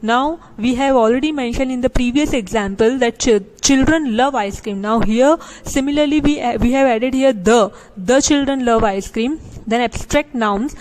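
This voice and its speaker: A woman's voice: on the high side, a little mellow, poor audio. A woman teaching an English grammar lesson to students, reading out rules and examples, then explaining them with small restarts and fillers. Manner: slow, deliberate reading of examples with frequent restarts.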